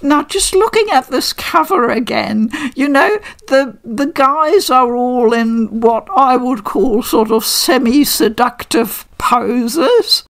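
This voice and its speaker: An older woman hosting a casual unboxing review from her living room, chatty and measured with frequent pauses. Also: high-pitched, animated. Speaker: game reviewer host.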